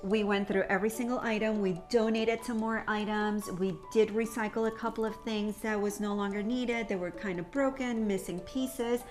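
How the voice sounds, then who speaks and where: A woman's voice: medium pitch, fairly even intonation, normal speed, few pauses, a little mellow. A woman from the United States hosting an upbeat home organizing show in a chatty, encouraging, informal way. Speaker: professional organizer and show host. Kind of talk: upbeat lifestyle show presenting. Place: home kitchen, indoors. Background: background music.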